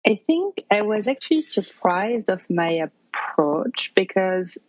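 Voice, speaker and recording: A woman's voice: medium pitch, very animated, takes it slowly, highs cut off. A woman in her thirties from France with a French accent, telling her story as an interview guest, hesitant and full of fillers. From France, near Paris. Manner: hesitant, many fillers and restarts. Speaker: podcast guest.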